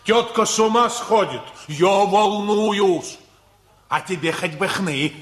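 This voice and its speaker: An actor in a radio play playing a rude, jealous, hot-tempered middle-aged uncle who talks in coarse, taunting jibes. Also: male voice, high voice, slow pace, fairly bright tone, slight background noise. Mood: menacing, scornful.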